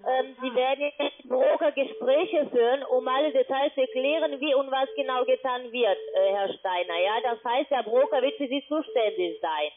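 Woman call-centre pitch over a tinny phone. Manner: halting German, keeps repeating the name. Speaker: scam call-centre advisor.

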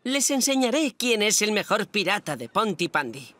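Cocky, animated little pirate boy. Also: mid-range voice, moderate pace, no echo. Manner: cocky, swaggering. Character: bossy, boastful little boy playing pirate. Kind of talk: animated children's series dub.

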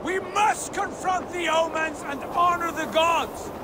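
An actor playing a zealous young Norse warrior who is driven by visions and speaks in a commanding, fervent way. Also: male voice, very high voice, fairly even intonation, a little slow. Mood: exultant. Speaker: Viking companion. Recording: game audio under loud wind noise.

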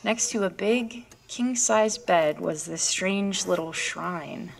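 A woman's voice: mid-range voice, creaky. A woman acting in a horror audio drama as a frightened, hesitant woman, with music under her voice. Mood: haunted recounting. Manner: halting, hesitant delivery. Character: frightened woman recounting a disturbing experience, searching for her dog. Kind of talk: horror audio drama, narration and acted scenes.

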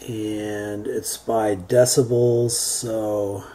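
A man giving an unscripted how-to software demonstration, thinking aloud in a halting way as he works. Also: fairly low pitch, level tone. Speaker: tutorial presenter. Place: indoors, beside a computer. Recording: homemade recording with background noise.

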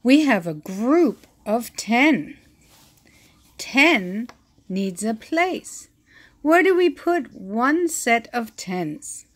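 Woman, slow and patient read-aloud voice, bright and a bit high, counts aloud, phone over the book. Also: big pitch swings. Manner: patient, counting aloud and asking the listener questions.

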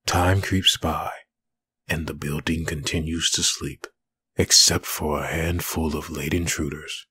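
An extremely deep male voice with a forceful, rough husk, put on by a man. He is a grave storybook narrator reading comic captions. The delivery is scripted and slow. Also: steady tone, heavily acted. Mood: ominous, measured.

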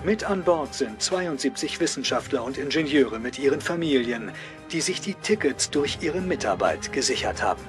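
A man's voice: medium pitch, lively intonation, normal speed, music in the background, natural voice. A man playing a factual news reporter delivering a report in a radio drama.

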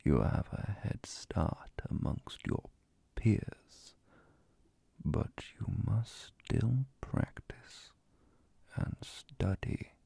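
A courteous vampire inquisitor acted by a man speaks in a low, heavily creaking voice with a soft, breathy husk. He is calm and scholarly, choosing formal words with great deliberation. It is an intimate ASMR roleplay, close and dry. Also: dry recording, full character voice. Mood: quietly fascinated. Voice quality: breathy, gravelly.

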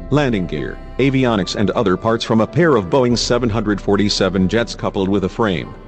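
A man reading scripted news narration as a narrator. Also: fairly low pitch. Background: music.

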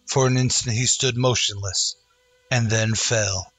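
Male narrator, dramatic radio western storytelling, bright tone, light music bed. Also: mid-range voice, steady tone, unhurried, rough recording. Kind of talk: dramatic scripted old-time radio narration.

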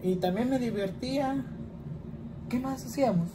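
A man's voice: extremely high pitch, moderate pace. Chatty young Mexican man, noisy room. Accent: Mexican Spanish. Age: 20s. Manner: chatty, rambling, often asks "¿verdad?". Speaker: vlogger answering questions. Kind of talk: casual home vlog. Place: small indoor room, plain wall.

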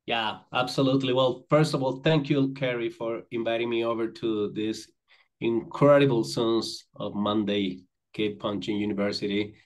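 A man's voice: medium pitch, fairly even intonation, normal speed. Man talking on a video call. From Venezuela. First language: Spanish. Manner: friendly, rambling with restarts and fillers. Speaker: company managing director, guest. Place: indoors with virtual backdrop. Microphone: video call, computer mic.

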